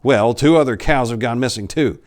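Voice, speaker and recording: An earnest young priest played by a male reader in a horror tale, insistent and jumpy. He speaks in an urgent, emphatic voice that rises above a low natural register. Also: brisk, close to an everyday voice. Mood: pleading.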